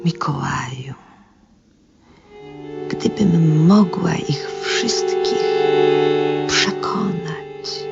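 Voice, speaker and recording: A woman's voice: deep voice, expressive, very slow, creaky, long pauses, whispered, full character voice. An actress playing a devoted, idealistic woman in a 1970s radio drama, speaking solemnly, tenderly and lyrically. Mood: wistful. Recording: dull vintage radio recording. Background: music.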